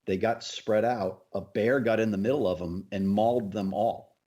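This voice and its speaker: A man's voice: on the low side, steady tone, moderate pace, mellow, lo-fi sound. A middle-aged man co-hosting a podcast, telling stories in casual conversation. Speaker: podcast co-host. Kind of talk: casual chat, storytelling.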